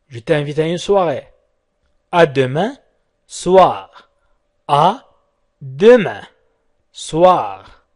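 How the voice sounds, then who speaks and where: A man who is a native Arabic speaker, teaching as a language tutor with deliberate word-by-word phrases, repeated and translated. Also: on the high side, a little mellow.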